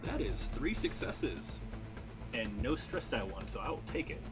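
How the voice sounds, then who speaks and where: A man's voice: medium pitch, big pitch swings, a little slow, loud music behind the voice. A man talking casually as a player at a tabletop game.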